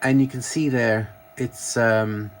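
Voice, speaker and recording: A man presents vintage gear in a casual, off-the-cuff way. His voice sits at an ordinary middle pitch. He rambles through details and often corrects himself mid-thought. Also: fairly even intonation, slow, slight background noise. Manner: rambling, self-correcting, informal. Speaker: presenter of a vintage electronics channel. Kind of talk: casual product show-and-tell.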